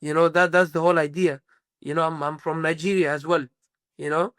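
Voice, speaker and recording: A man's voice: on the high side, fairly even intonation, brisk, a bit bright, no room sound, studio quality. A man from Sudan, a musician guest in a casual interview, rambling with many fillers and restarts.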